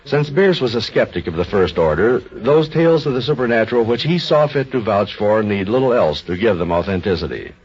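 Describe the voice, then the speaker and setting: Male American announcer, measured formal suspense, slightly low voice, muffled old-time radio recording. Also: animated, a little mellow, breathy edge. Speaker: narrator introducing the story. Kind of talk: 1950s radio scripted narration. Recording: dull 1950s radio recording.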